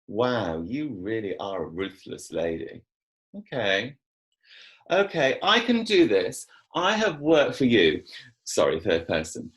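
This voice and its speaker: A flippant, theatrical male personal assistant voiced by an actor in a drama. He speaks slowly and haltingly, with wry exasperation and very lively pitch. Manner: sardonic, halting. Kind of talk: scripted drama table read. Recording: video call.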